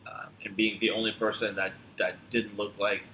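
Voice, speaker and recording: A man from Tanzania who grew up in upstate New York, talking casually and reflectively as a podcast guest, often halting and repeating phrases. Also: lowish voice, flat delivery, pushed and bright. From born in Tanzania, grew up in upstate New York. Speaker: podcast guest, physical therapist. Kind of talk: casual conversational storytelling. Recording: roomy echo, clear background noise.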